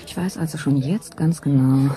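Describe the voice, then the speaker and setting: An actress playing a tired woman teacher in her thirties, speaking a halting, reflective inner monologue in a radio drama. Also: low-pitched, warm tone, close to an everyday voice. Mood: pensive. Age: 30s. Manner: reflective, halting, short fragmented phrases. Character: tired, pensive 32-year-old woman teacher, dreamily self-observing.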